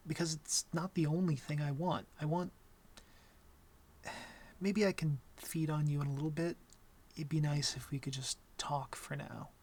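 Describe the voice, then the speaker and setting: A young man playing an awkward, gentle vampire in an intimate ASMR roleplay, talking softly and hesitantly with a wry streak. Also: fairly high pitch, fairly even intonation, normal speed, pauses often. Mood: awkward, tender. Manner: hesitant, soft-spoken, wry.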